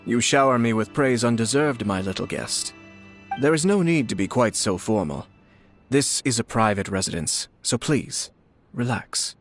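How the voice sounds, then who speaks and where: A man's voice: medium pitch, expressive, moderate pace, faint music behind, lightly acted. An actor playing a composed young male noble clan head in a video game, speaking formally and graciously. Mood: gentle.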